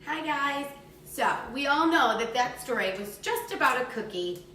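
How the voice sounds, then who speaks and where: A woman telling a story to young children in an animated storytelling style. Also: high-pitched, moderate pace, a little hiss or hum. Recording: echoey room, distant microphone.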